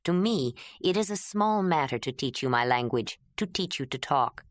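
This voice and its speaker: A woman voicing a gentle, patient grown man who speaks calmly and reassuringly. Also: deep voice, normal speed, no room sound, clean studio sound. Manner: calm, reassuring.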